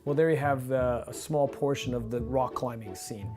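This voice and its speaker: A man in his fifties with a North American accent presents a scripted behind-the-scenes explainer in a measured, clear manner. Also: mid-range voice, over music. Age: 50s. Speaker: radio drama director and presenter. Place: small indoor studio office with monitors. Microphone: lavalier on shirt.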